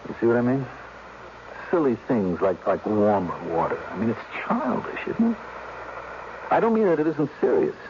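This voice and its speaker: A man acting in a radio drama as an earnest, compassionate character who muses haltingly on how hard life is. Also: mid-range voice, big pitch swings, lightly acted. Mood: earnest, sorrowful. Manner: halting, reflective, repeating himself for emphasis. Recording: dull, noisy old radio recording.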